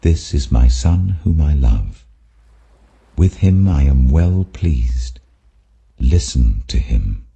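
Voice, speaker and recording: A British man voicing God as a solemn, almighty authority, slow, grave and commanding. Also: a bass voice, animated, gravelly, dark tone, breathy edge, heavily acted. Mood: majestic, loving.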